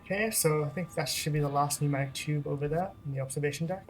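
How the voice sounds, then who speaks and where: A let's-play host giving casual gameplay commentary in a relaxed, rambling way with frequent laughs. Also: medium pitch, fast, rough recording.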